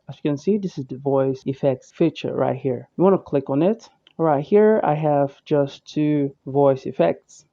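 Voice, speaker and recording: A man hosting a how-to video, narrating software steps in an instructional, repetitive way over a muffled voice-over recording. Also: fairly high pitch, no echo. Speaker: tutorial host. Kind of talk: step-by-step software tutorial narration.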